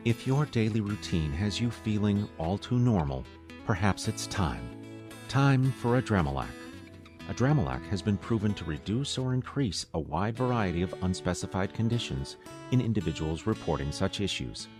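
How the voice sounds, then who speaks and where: A man playing a deadpan announcer in a parody drug commercial, reading straight-faced over guitar music. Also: lowish voice, normal speed, lightly acted. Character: deadpan male pitchman for an absurd medication. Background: plucked guitar music.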